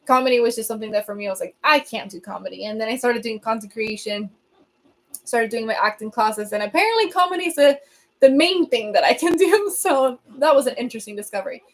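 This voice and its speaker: A young woman from Venezuela, a native Spanish speaker, hosting a livestream and chatting casually as she answers comments, laughing often and filling pauses with um. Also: a little high, animated. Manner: chatty, laughs often, many ums and restarts. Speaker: livestream host.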